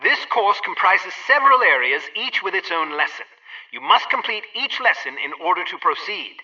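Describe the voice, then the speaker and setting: An actor playing a brisk gadget-lab instructor in a video game, giving precise, emphatic training directions. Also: male voice, high-pitched, very animated, pushed and bright, distant mic, muffled recording, heavily acted. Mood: brisk, instructive.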